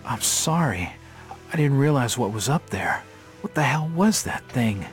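A man's voice: mid-range voice, very animated, measured pace, faint music behind. An actor playing a troubled, earnest male investigator, an outsider in town, in a video game. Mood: shaken. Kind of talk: video game cutscene acting.

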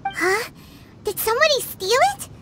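A woman voicing a tiny, curious fairy companion in a video game, with a squeaky childlike voice, exclaiming and questioning. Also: lively intonation, moderate pace, sharp tone, slight background noise, heavily acted. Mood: puzzled, curious.

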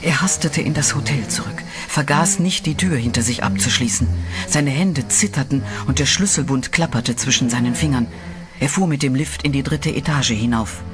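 A man's voice: mid-range voice, normal speed. A man reading scripted, dramatic narration as the narrator of a horror audio play. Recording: music behind the voice.

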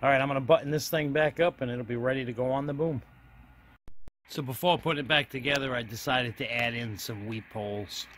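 A man's voice: mid-range voice, fairly quick, fairly bright tone, slight background noise. A man giving a plain-spoken, casual step-by-step narration of a hands-on DIY project as he films it. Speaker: DIY hobbyist video host.

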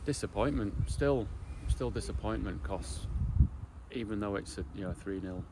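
A man in his forties, a football coach, giving a post-match interview outdoors beside the pitch, talking in a halting way with many restarts and fillers. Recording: outdoor noise behind.

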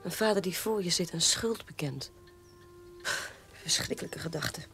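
An actress playing a weary, proud sister in a radio drama, speaking in a measured way. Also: female voice, on the low side, natural voice. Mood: defensive. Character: weary, proud sister defending her disgraced father.

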